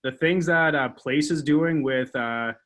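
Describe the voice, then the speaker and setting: A man's voice: a little high, a little slow, a bit bright. A man hosting a call, rambling casually with many fillers.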